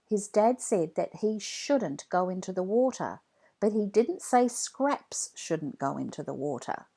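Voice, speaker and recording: A woman narrating a children's read-along story from a script, in an expressive storytelling manner. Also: medium pitch, a little slow.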